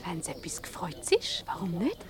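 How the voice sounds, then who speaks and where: An actress playing a charming, cunning wife in a radio comedy, coaxing and flirtatious, with a Swiss German accent. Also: female voice, on the low side, huge pitch swings, fairly quick, whispered. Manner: coquettish, coaxing.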